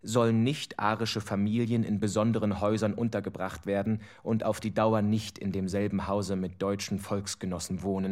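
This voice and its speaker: An actor playing an officious Nazi-era official, formally reading out letters in a documentary radio play. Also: male voice, fairly low pitch, a little mellow, close to an everyday voice.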